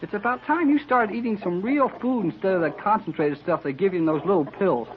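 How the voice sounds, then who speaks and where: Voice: medium pitch, fairly quick, natural voice. A performer playing an impatient, slangy country boy with an American accent in an old-time radio drama. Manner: folksy, slangy, exclamatory. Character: brash, impatient, excitable farm-country boy. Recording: dull, noisy old radio recording.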